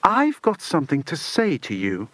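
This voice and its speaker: A man voicing a stern, mocking mother in a children's audiobook, with a crisp manner. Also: fairly high pitch, wildly swooping pitch, moderate pace, fairly bright tone, no room sound.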